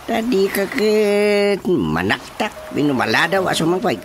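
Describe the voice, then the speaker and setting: A man's voice: sits high, animated, a little slow, a little hiss or hum. A man acting a boastful, jovial older uncle in a radio comedy drama, drawling and comically self-satisfied. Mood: proud, amused. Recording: loud background music.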